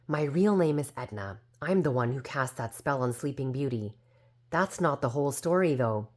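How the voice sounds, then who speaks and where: A woman voicing a very old, indignant but well-meaning fairy who tells her own storybook tale defensively and with self-assurance. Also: sits low. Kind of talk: storybook character narration.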